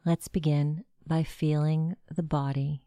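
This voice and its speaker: A woman narrating a guided meditation with gentle, measured instructions. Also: low voice, flat delivery, no room sound, clean studio sound.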